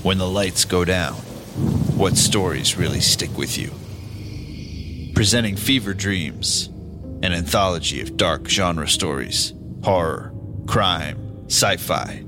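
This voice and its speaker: Very deep, slow male trailer announcer. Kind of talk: dramatic scripted promo. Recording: music, rain and thunder behind.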